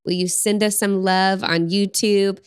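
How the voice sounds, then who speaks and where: A woman's voice: mid-range voice, steady tone, measured pace, clean tone, no echo, clean studio sound. A woman in her thirties co-hosting a podcast, talking in an upbeat, chatty way and laughing easily. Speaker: podcast co-host. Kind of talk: casual chat.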